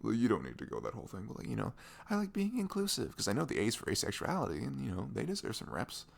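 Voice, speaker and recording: A young man playing a sweet, caring boyfriend in an intimate ASMR roleplay, rambling casually in slangy, animated speech. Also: medium pitch, fast talker, vocal fry, exaggerated voice. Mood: easygoing. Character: sweet, caring, chatty boyfriend on a morning after. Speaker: audio roleplay voice actor.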